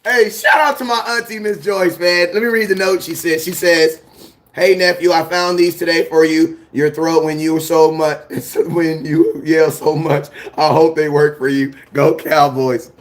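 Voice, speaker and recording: A young man hosts a casual fan show in an easygoing, excitable way. His voice sits fairly high for a man. He talks in slang and repeats phrases when he gets worked up. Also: measured pace. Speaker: sports fan show host. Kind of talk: casual live-stream chat.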